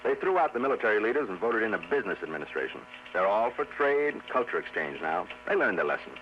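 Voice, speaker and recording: An actor playing a weary, defensive space cargo captain in a 1950s radio drama, rambling and deferential, on an old radio recording. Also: male voice, lowish voice, big pitch swings, quick pace, muffled recording, a little hiss or hum. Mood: earnest, respectful.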